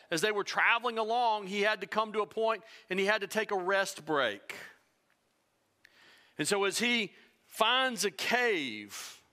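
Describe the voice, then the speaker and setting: A middle-aged man preaching a sermon from a church stage, animated and swinging between loud emphasis and hushed tones. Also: sits high. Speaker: pastor.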